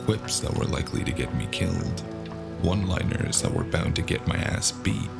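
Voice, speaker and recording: A man playing a sardonic, world-weary cyberpunk investigator in an audio drama, narrating in first person with a gravelly, wry delivery. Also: low voice, big pitch swings, moderate pace, loud music behind the voice, heavily acted. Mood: wry, tense narration. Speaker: narrator and lead character.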